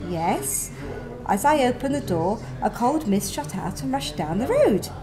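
A woman reading her own first-person story aloud in a gentle, wry manner. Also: on the high side, sing-song, measured pace. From England. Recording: music playing behind.

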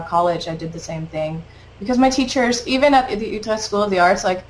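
A woman's voice: lowish voice, a little hiss or hum, rough recording. A woman in her twenties from the Netherlands, a native Dutch speaker with a mostly American-sounding English accent, chatting casually as an interview guest over a webcam video call. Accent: American-leaning English. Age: 20s. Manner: chatty, quick, frequent laughs and fillers. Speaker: guest artist. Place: small home studio room, indoors.

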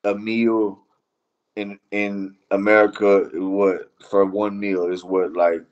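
Deep-voiced Iranian-born man, halting and slangy. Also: level tone, dull audio. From Iran. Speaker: interview guest. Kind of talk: casual chat.

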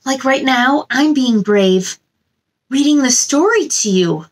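A woman in her thirties speaking to young children as a story time host, with an expressive, simple delivery. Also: high voice, slow. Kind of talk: children's read-aloud and chat to young viewers.

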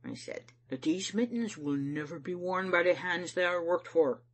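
A proud, stubborn Scottish church elder, acted out by a woman reader in a storybook. He is stern and weighed down by guilt. His voice is low and husky, with emphatic, dramatic swings in broad dialect. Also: expressive, measured pace, heavily acted. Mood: stern, solemn.

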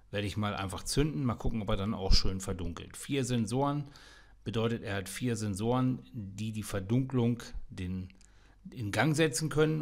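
A man reviewing a welding helmet in a casual, practical tone, with frequent fillers. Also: fairly low pitch. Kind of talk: product review voice-over.

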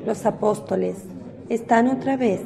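A woman narrating a religious radio drama in measured, scripted storytelling. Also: a little high, creaky, crowd noise.

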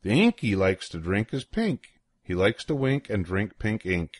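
A man's voice: lowish voice, very animated, a little slow, no room sound, clean studio sound. An older man reading a children's rhyming picture book aloud in a playful, sing-song way with swooping emphasis. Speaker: storybook reader.

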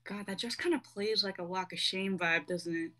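A woman's voice: medium pitch, moderate pace, sharp tone, close to an everyday voice. A woman in her twenties playing a sassy, foul-mouthed cat in human form, flippant and sardonic, in a dramatic reading. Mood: sassy, irritated. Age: 20s. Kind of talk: amateur dramatic script reading. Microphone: close mic on a video call.

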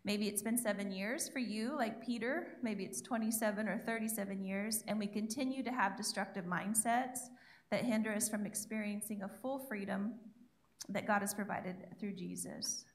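A woman's voice: mid-range voice, normal speed. A young woman giving a sermon from her notes on a church stage, chatty and self-deprecating, speaking into a handheld mic. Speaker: guest preacher. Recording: echoey church room.

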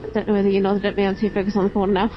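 A woman's voice: medium pitch, monotone, very fast. A woman chatting casually and explaining things as she hosts a vlog, speaking through a helmet intercom mic while riding. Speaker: motovlog host. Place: on a motorbike on open roads. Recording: muffled helmet intercom mic with engine noise. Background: engine and road noise.

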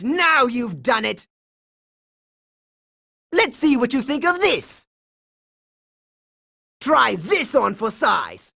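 A man's voice: very high-pitched, creaky, sharp tone, exaggerated voice. A man voicing a bossy, heroic boy secret agent in a cartoon video game, dramatic and emphatic with a smug confidence. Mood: defiant, commanding.

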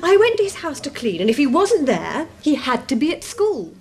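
A woman's voice: sits high, huge pitch swings, moderate pace, darkish tone, heavily acted. A woman acting in a radio drama as an aggrieved, sulky thirty-one-year-old, insistent and plaintive. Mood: indignant.

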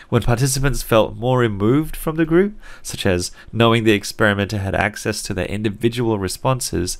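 A young man who grew up in Singapore but now speaks with an Australian accent. He narrates a psychology video lesson in a lower, slightly deep voice that moves up and down a lot. The delivery is clear and conversational, with a light wry edge. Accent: Australian English, learned as an adult. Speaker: psychology teacher.

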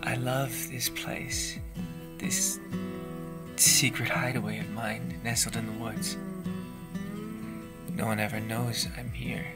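A man's voice: mid-range voice, slow pace, pauses often, natural voice. A man playing a lonely, lovelorn youth in an audio drama monologue, wistful and confiding. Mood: wistful, private. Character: lonely, lovelorn, secretive youth musing alone. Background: soft plucked guitar music.

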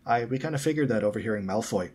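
A man's voice: fairly low pitch, animated, very fast, a little mellow, slightly rough. A man voicing a gallant, easygoing teenage schoolboy with an Irish accent, in a storybook reading. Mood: friendly.

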